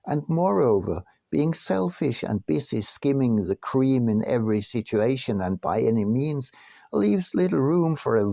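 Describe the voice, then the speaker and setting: A man narrating his own audiobook from a script in a deliberate, emphatic manner. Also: medium pitch, creaky, dark tone, dry recording. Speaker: author reading own book.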